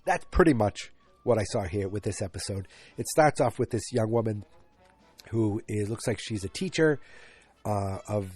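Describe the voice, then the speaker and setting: A stuffed-up man in his forties talks alone into his podcast mic, rambling and restarting his sentences. His voice sits on the low side and sounds mellow and dark. Faint music plays underneath. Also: expressive, moderate pace. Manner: rambling, self-interrupting, many fillers and restarts. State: stuffed up, congested. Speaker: podcast host. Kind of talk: solo rambling podcast talk. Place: home, late at night.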